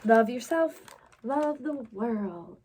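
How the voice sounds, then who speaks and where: A woman's voice: a little high, animated, slow pace, darkish tone. A woman hosting a children's story time, reading aloud and talking to young listeners in a sing-song, encouraging, exaggerated way. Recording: clear background noise.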